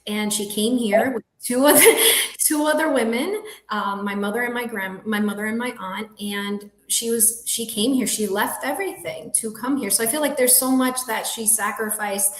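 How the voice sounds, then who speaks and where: A woman's voice: a little high, normal speed. A woman in her thirties from Colombia, a realtor speaking English as her second language, talking earnestly in an interview, often laughing and restarting her sentences. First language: Spanish. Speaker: guest, realtor. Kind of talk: casual interview talk. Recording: echoey room.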